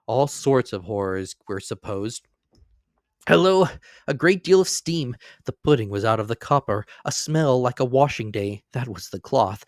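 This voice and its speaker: A man's voice: mid-range voice, dry recording, studio quality. A man narrating a classic story as a dramatic reading, lifting and dropping his voice for emphasis. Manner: expressive, rising and falling for emphasis.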